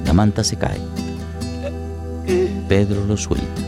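A man's voice: lowish voice, lively intonation, slow, creaky. A man giving a dramatic scripted narration of a radio play. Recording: plucked guitar music under voice.

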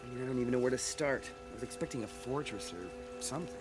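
A man's voice: medium pitch, expressive, fast talker, over loud music, close to an everyday voice. An actor playing an earnest, impatient young male space adventurer in a radio drama. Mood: weary, uneasy.

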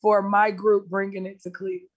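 A woman small business owner answering interview questions over a video call, friendly and upbeat, her voice a little raspy. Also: medium pitch, little pitch movement, brisk, muffled recording. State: slightly raspy voice.

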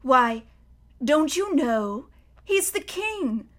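Woman voicing Mister Beaver, a gruff, grave beaver. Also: high voice, sing-song, extremely slow, voice pushed up, full character voice. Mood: hearty. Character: gruff, gloomy, earnest male beaver.

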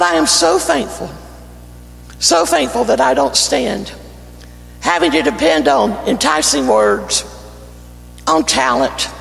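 A man with a North Carolina Southern accent, an evangelist praying and preaching a fervent sermon that builds to shouting in a church. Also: very high voice, vocal fry. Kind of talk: sermon with opening prayer. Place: church sanctuary. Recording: church hall reverb, faint music.

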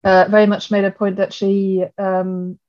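A woman's voice: mid-range voice, completely flat delivery, a little slow, a little mellow, lo-fi sound. A woman architect who grew up in Germany, answering interview questions in a thoughtful way, often restarting her sentences. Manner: thoughtful, many restarts and fillers. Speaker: architect and guest.

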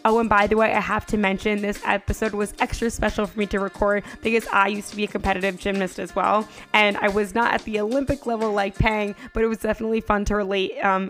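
A woman's voice: mid-range voice, a bit bright. A woman in her twenties hosting a podcast, upbeat and chatty, often saying "like". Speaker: podcast host. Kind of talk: casual interview chat.

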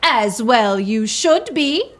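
Self-styled camp duchess, played by an actress: high-pitched, eager, grandly formal with a folksy streak. Radio drama. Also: female voice, big pitch swings, slow pace. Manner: mock-regal formality mixed with folksy talk. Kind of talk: radio play acting.

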